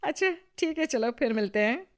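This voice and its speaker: A woman's voice: sits high, expressive, normal speed, voice pushed up. A woman voicing a falsely sweet, mocking older neighbour aunty in a Hindi moral story.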